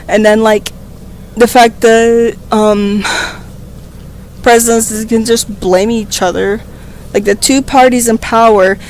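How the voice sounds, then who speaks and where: A slightly hoarse woman reading a political text aloud on a stream and breaking into rambling, profane, emphatic commentary into a desk microphone. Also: on the high side, a little hiss or hum. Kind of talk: casual read-aloud with commentary.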